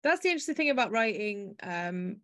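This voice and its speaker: A woman hosting an interview podcast, speaking in a measured, articulate, explanatory way. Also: medium pitch, sing-song, a bit bright. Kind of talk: interview chat and scripted introduction.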